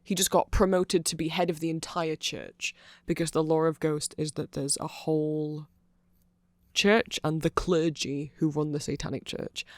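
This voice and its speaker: A nerdy male vampire, played by a man, explains lore in an ASMR ramble, earnest and full of fillers. His voice sits slightly high for a man, and the sound is very dry, with no room around it. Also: creaky, dry recording. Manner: rambling, filler-heavy, earnest fannish enthusiasm.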